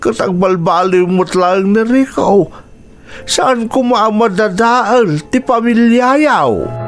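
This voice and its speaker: A man's voice: sits high, lively intonation, slow, music in the background, heavily acted. An actor with an Ilocano accent playing a concerned, protective elderly father-in-law in a radio drama. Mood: earnest, pleading. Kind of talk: Filipino radio drama acting.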